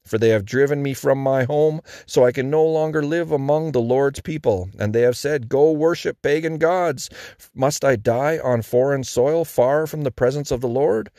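A man's voice: a little high, creaky, warm tone, dry recording, studio quality, pitched above the performer's own voice. A man voicing a bold young warrior who calls out emphatically in a dramatized scripture reading. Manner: emphatic, rhetorical, calling out. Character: bold young warrior David, taunting and earnestly pleading.

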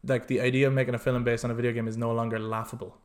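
Male podcast host, mid-pitched, casual and opinionated, emphatic delivery with plenty of swearing. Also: level tone, very fast.

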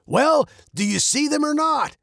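A man's voice: extremely high pitch, lively intonation, a little slow, vocal fry, a bit bright, no room sound, clean studio sound, pitched above the performer's own voice, full character voice. A man voicing a protective captain in a storybook, a stern but caring father with a firm, commanding manner. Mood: impatient. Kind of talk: storybook character voice.

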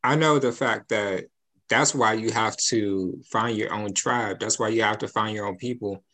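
A man co-hosting a podcast, talking in a measured, advice-giving way. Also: lowish voice, sharp tone. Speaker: podcast co-host. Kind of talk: casual podcast chat.

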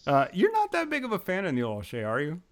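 A male podcast host with a fairly high, very lively voice that swings up and down as he jokes around. He laughs often and keeps the talk loose and easygoing. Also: quick pace. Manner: joking, laughs often, expressive. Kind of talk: casual podcast chat.

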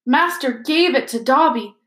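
A woman voicing a small, servile male house elf with a shrill, squeaky voice and an emphatic, excitable manner. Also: slow pace, roomy sound, exaggerated voice. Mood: amazed, joyful. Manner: speaks of himself in third person, emphatic.

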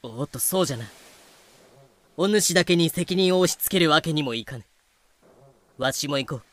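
Guy acting composed pirate boss, old-timey elder talk, highish sharp voice, radio play. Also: animated, moderate pace, no room sound. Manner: archaic elder-style speech. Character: old-fashioned pirate captain, composed and sly. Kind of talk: fan audio drama acting.

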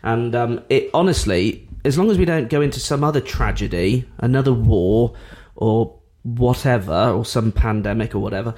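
A man's voice: medium pitch, normal speed, few pauses, darkish tone. A man in his forties from England, co-hosting a casual podcast as an estate agent, chatty and blunt, swearing now and then.

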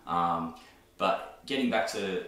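Low-voiced Australian man in an echoey room. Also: slow pace, a bit bright. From Australia, grew up in Newcastle, New South Wales. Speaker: interview host. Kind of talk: casual conversational interview. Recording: very echoey room, faint background noise.

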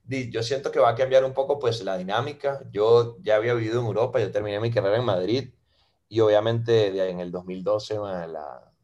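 A Venezuelan-born man in his thirties with a Costa Rican accent, chatting casually as a podcast guest and filling pauses with "ma" and "eh". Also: on the low side, muffled recording. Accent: Costa Rican Spanish. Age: 30s. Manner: relaxed, fills with "ma" and "eh". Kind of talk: casual interview chat.

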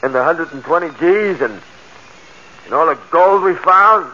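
Excitable, high-pitched slangy crook. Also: male voice, unhurried, heavily acted. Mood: anxious. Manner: rough slangy underworld talk, breaking into manic laughter. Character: excitable, hysterical small-time male convict and bank robber. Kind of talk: 1940s radio drama acting. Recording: dull lo-fi old radio recording.

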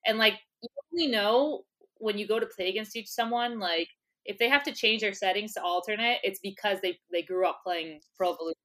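A woman's voice: mid-range voice, fairly quick, sharp tone. A woman talking casually as a podcast guest, chatty and laughing often, with lots of filler words. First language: English. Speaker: interview guest, former soccer player. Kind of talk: casual conversational interview.

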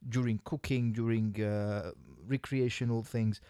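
A man co-hosting a casual podcast chat. He stumbles over the first words of a thought, then hurries through long explanations. His voice sits mid-pitch, and the sound is dry. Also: fairly even intonation, warm tone, clear, resonant tone, no room sound. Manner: stammers on starts, then rushes through long points. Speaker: podcast co-host.

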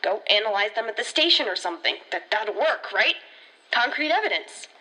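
Woman acting anxious teenage girl park ranger, highish tense voice, defensive, emphatic, sarcastic, fiction podcast. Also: sing-song, fairly quick, bright, forceful tone, low-quality recording. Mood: insistent, pleading. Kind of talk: audio drama dialogue.

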